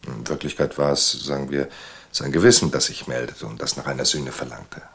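Calm asylum doctor in a german radio drama, low gravelly voice, explains things slowly. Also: male voice, exaggerated voice. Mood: measured, grave. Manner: measured, explanatory. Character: composed male asylum doctor explaining a patient's madness.

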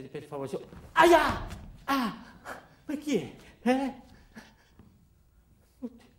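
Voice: medium pitch, slight background noise, natural voice. An actor plays a timid garbage collector, easily flustered. The speech stammers and apologizes, in broad radio comedy style. The recording is roomy and echoing. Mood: polite, weary. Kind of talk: comic radio drama acting.

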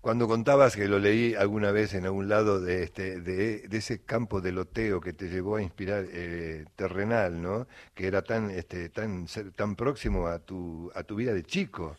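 A man with an Argentine accent conducts a conversational interview in a fairly low voice. He builds his questions haltingly and tends to repeat short phrases. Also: steady tone.

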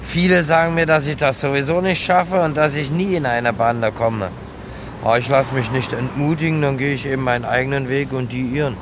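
A man's voice: medium pitch, fairly even intonation, a little fast, close to an everyday voice. An actor playing an earnest homeless man, plain-spoken and colloquial, talking gratefully about his dream in a radio drama. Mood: plain, determined. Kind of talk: radio drama acting, interview-like. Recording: noisy outdoor urban street, traffic. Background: vehicle and street noise.

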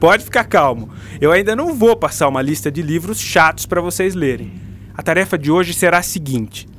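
An actor playing a patient, enthusiastic school teacher with a Brazilian accent, lecturing in a radio drama. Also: male voice, fairly high pitch, expressive, brisk, a bit bright, a little hiss or hum. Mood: instructing, reassuring. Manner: didactic, explanatory.

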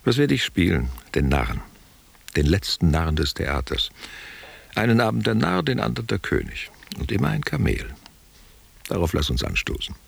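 Very deep, gravelly old stage actor. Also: male voice, very animated, moderate pace, heavily acted. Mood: tender, probing. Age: older. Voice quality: raspy, gravelly. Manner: theatrical, rhetorical questioning. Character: old theatre actor, tender, probing, brooding, world-weary. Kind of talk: literary radio play monologue and dialogue.

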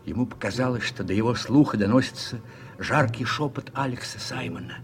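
A man narrating a radio play from a script in a storytelling manner, with a husky voice. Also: mid-range voice, brisk, soft background music.

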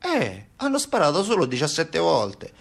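A man's voice: fairly high pitch, huge pitch swings, normal speed, gravelly, slightly rough, heavily acted. An actor playing a chatty, sarcastic man in a satirical stage monologue, colloquial and falsely reassuring as he excuses things. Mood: mocking, soothing.

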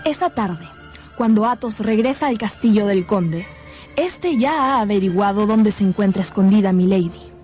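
A woman's voice: mid-range voice, normal speed, a little mellow, faint music behind. A woman delivering scripted, dramatic narration for a radio play.

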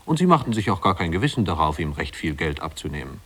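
Guy narrating old german radio play, low voice, composed articulate storytelling. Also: sing-song, quick pace, a bit bright.

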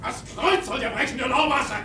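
Casting: rough seafaring slave-driver, very high strained bark, roomy distant radio-drama sound with faint rumble. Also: male voice, lively intonation, brisk, full character voice. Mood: urgent, goading. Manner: barking, goading, shouted commands. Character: rough, driving whaleboat officer bellowing at his rowers.

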